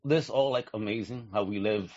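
A man's voice: lowish voice, moderate pace, a little mellow, lo-fi sound. A man hosting a casual video call chat, enthusiastic, laughing often and filling his talk with "you know". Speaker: host.

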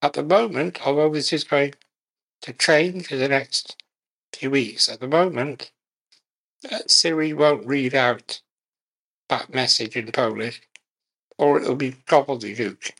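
A man speaking as a guest in an interview, measured and unhurried, speaking in short phrases with pauses. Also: mid-range voice, gravelly, a bit bright, slightly rough, low-quality recording. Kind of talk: conversational interview.

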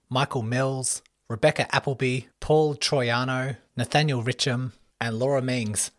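A man hosting a podcast, talking in a relaxed way with plenty of fillers and loose phrasing. His voice sits in a middle range and is picked up dry and close on the mic. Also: medium pitch, no echo. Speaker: podcast host. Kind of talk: podcast interview.

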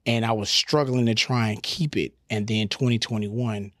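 A man's voice: fairly low pitch, steady tone, normal speed, fairly bright tone, no room sound, studio quality. A middle-aged man telling stories casually as a podcast guest, candid and profane with slangy asides. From Houston, Texas. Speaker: podcast guest, comedian and radio personality.

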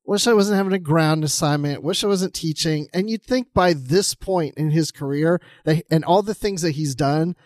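A man's voice: fairly high pitch, normal speed, few pauses, a little mellow, no room sound, clean studio sound. A man co-hosting a podcast, giving his opinions in casual conversation. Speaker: podcast co-host.

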